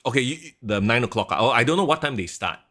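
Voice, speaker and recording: A man in his thirties co-hosting a podcast, explaining things at length in an animated, chatty way. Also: mid-range voice, fast. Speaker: podcast co-host. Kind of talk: casual chat.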